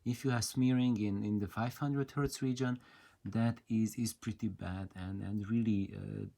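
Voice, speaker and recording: Slow-talking man, halting technical explainer. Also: fairly low pitch, fairly even intonation, vocal fry, mellow. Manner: hesitant, halting delivery with frequent long fillers. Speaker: audio hobbyist presenter. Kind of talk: unscripted technical explanation to camera.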